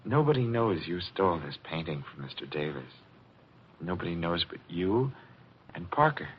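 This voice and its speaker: An actor playing a deranged art dealer's clerk, a polite and obsessive killer, in 1940s radio suspense style. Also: male voice, low voice, sing-song, moderate pace. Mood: cold menace. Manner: polite menace, theatrical. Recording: muffled, noisy old radio recording.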